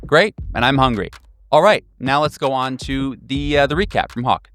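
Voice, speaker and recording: A man's voice: medium pitch, expressive, normal speed, fairly bright tone, soft background music. A man hosting a tabletop game in casual chat, informal and slangy, with an enthusiastic manner. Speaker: game master and host.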